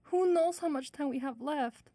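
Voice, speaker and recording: A woman's voice: sits high, normal speed, dark tone, no room sound. A woman playing a worried candy mother and wife, anxious and pleading, in an audio drama.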